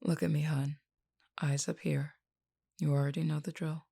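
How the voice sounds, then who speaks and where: A woman playing a flirty, self-assured female werewolf in an intimate audio roleplay, teasing and blunt, with a low, mellow, gravelly voice. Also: little pitch movement, heavily acted. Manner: teasing, blunt, unhurried, uses pet name "hun".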